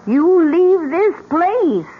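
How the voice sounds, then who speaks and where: A woman's voice: very high-pitched, sing-song, extremely slow, heavily acted. An actress playing a grim older widow in a 1970s radio drama, solemn and emphatic as she warns of doom. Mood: grim warning. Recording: muffled noisy 1970s radio broadcast.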